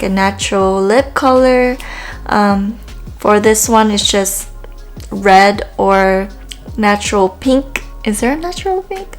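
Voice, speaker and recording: A woman with a Filipino accent talks through a makeup tutorial in a casual, hesitant way, with frequent ums. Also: medium pitch. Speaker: makeup vlogger.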